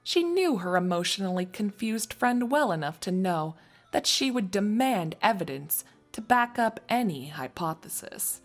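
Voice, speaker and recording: A woman reading scripted story narration in an expressive, wry storytelling manner. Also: medium pitch, normal speed, faint music behind. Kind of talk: scripted fan fiction narration.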